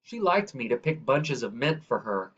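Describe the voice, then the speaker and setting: A man reading a children's picture book aloud in a measured, deliberate way. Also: medium pitch, sing-song, a bit bright, breathy edge. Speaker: reader. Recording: dull, lo-fi sound.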